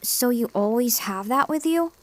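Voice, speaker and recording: Woman acting a high, expressive young girl. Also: normal speed, close to an everyday voice. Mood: curious, tentative. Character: wary, fiercely protective young runaway girl. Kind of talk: anime-style manga dub acting.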